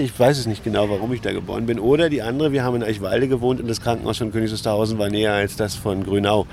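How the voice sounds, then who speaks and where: A man's voice: fairly low pitch, darkish tone. Candid man, noisy outdoor recording. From Berlin, Germany. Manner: candid, conversational, searching for words. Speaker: interview guest. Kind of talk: casual reminiscing in an interview. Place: outdoors on a large urban lawn.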